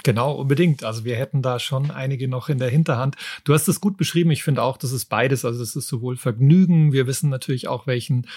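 A man's voice: medium pitch, fast talker, hardly any pauses, a little mellow, dry recording. Enthusiastic male podcast host, dry sound. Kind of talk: casual book talk.

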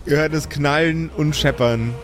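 A man's voice: a little high, measured pace, background noise. A man running a live improvised tabletop audio drama as game master, narrating and joking with the players. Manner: playful, stammering in chat. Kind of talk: narration and banter.

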